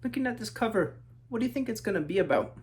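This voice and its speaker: A man from New Mexico reading his children's book aloud in measured rhythm, as a storyteller talking to young listeners. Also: high-pitched, expressive, darkish tone, slight background noise. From New Mexico, United States.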